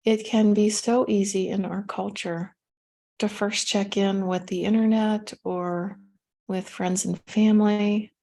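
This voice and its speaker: A woman with a soft-edged, mellow voice guides a meditation. Her pitch sits in an ordinary middle range for a woman. She gives gentle instructions without hurry, leaving space between phrases. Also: level tone. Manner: gentle, unhurried instruction with pauses.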